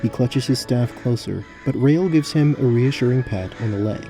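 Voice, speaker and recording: Male game-master narrator for scripted fantasy adventure, deeply mellow tone, measured storytelling, light music bed. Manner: measured, descriptive.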